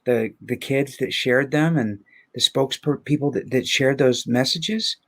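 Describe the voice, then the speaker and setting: A man's voice: mid-range voice, a little slow. A man speaking reflectively and conversationally, with earnest emphasis and rhetorical questions, as a guest on a livestream.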